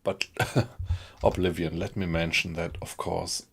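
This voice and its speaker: A middle-aged man from Germany with a German accent, chatting in a friendly, halting way with viewers on a live stream. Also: low-pitched, level tone, gravelly, a bit bright, husky. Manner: thoughtful, friendly, halting with fillers.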